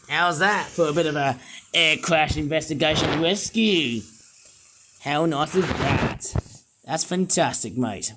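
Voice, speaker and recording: A man reviewing toys in a rambling, playful vlog, his voice not fully well and breaking into sudden excited outbursts. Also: high voice. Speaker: toy reviewer. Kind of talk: casual toy review vlog.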